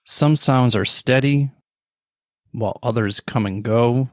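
A middle-aged man leading a guided meditation in a calm, measured way, leaving long pauses between slow phrases. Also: medium pitch, no echo, studio quality.

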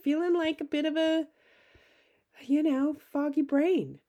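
A woman hosting a solo podcast as a life coach, upbeat and conversational, her voice a little gravelly from a cold. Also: sits high, fairly even intonation, unhurried, dark tone, dull audio. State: has a cold, slightly gravelly.

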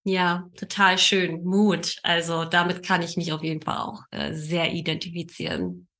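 Female podcast host, thirties, lower-pitched but bright, casual conversational delivery. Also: normal speed. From Berlin, Germany. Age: 30s.

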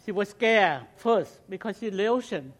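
A middle-aged man with a Cambodian accent, a native Khmer speaker, giving an earnest mission presentation at a church in halting English, with rhetorical questions to the congregation. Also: high-pitched, animated, fairly bright tone.

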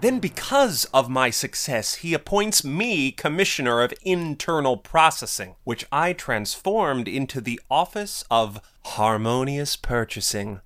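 An actor playing a proud, aggrieved court financier, pompous and self-justifying in formal theatrical diction. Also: male voice, mid-range voice, animated, unhurried, few pauses. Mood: boastful. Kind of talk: theatrical audio drama monologue. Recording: loud music behind the voice. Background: plucked guitar music.

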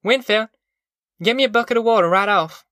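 An anxious, poor country mother, as an audiobook narrator acts her out, pitched well above the narration. The rural Southern American accent is folksy and plain, with a pleading, worn-down manner. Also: moderate pace, a bit bright, dry recording, clean studio sound, close to an everyday voice. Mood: urgent calling.

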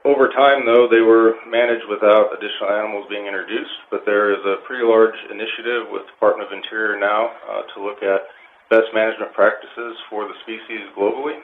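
A man being interviewed as a wildlife manager, giving measured, technical explanations. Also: fairly low pitch, little pitch movement. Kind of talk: informative interview answers. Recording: phone-like line with faint noise.

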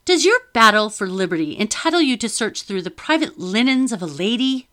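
A woman reading an audiobook and voicing a haughty, imperious lady with a crisp, indignant manner. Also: mid-range voice, big pitch swings, a little fast, a bit bright, pitched above the performer's own voice.

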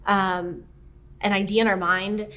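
Animated woman retelling a story. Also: mid-range voice, unhurried. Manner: animated storytelling, acts out quoted lines, many "like" fillers. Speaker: guest author. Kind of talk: casual podcast chat.

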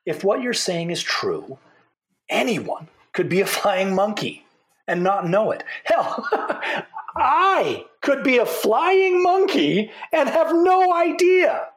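Skeptical male debater, flippant, animated pitch, casual, hesitant, easily flustered, echoing room, audio drama. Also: takes it slowly, exaggerated voice. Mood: startled, amused. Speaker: debate guest.

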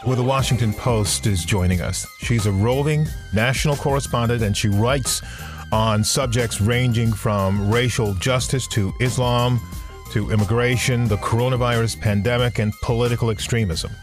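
A man hosting a radio talk program, speaking in a measured, formal manner. His voice sits at an ordinary middle pitch. He sounds composed and deliberate as he introduces a guest. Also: music in the background. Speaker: podcast host.

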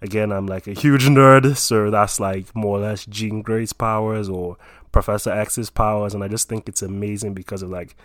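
Low-voiced nigerian guy in his 20s rambling casually about himself, dry close mic. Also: normal speed, no room sound. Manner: relaxed, rambling with many fillers.

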